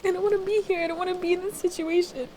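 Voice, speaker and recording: A woman acting in an intimate ASMR roleplay drama as a distressed, defensive woman who wants to leave her partner, speaking in a halting, emotional way. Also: very high-pitched, fairly even intonation, mellow, a little hiss or hum. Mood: upset, near breaking. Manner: halting, emotional, pleading conversational delivery. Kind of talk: intimate ASMR roleplay audio drama, one side of a conversation.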